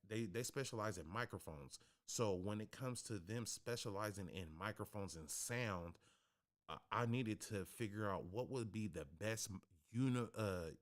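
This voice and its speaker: A man hosting a livestream from his home studio, talking casually and bluntly with lots of profanity and slang in a deep, bassy voice on a studio microphone. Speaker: livestream host. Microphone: studio podcast microphone.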